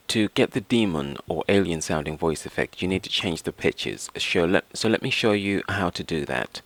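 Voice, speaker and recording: A man narrating an informal software tutorial step by step, hesitating and repeating himself as he goes. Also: deep voice. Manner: hesitant, repetitive, explains step by step. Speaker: tutorial presenter.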